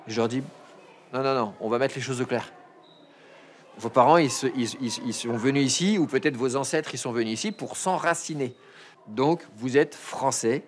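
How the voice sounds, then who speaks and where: A man's voice: mid-range voice, moderate pace, slight background noise. Firm male teacher explaining to pupils. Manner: firm, explanatory, asks pupils to check understanding. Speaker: school teacher. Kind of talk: addressing a class.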